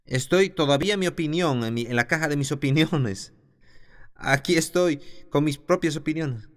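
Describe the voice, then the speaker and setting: A man's voice: on the high side, normal speed. A man teaching a Bible class who reads scripture aloud and then explains it, stopping now and then to restart a phrase. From the south of his country.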